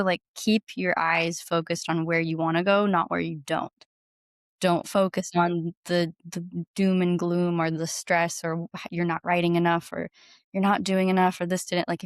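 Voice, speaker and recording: Low-pitched woman, casual and enthusiastic. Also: little pitch movement, moderate pace, dry recording, studio quality. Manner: enthusiastic, informal, frequent "like". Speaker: podcast guest, singer-songwriter. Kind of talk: casual conversational interview.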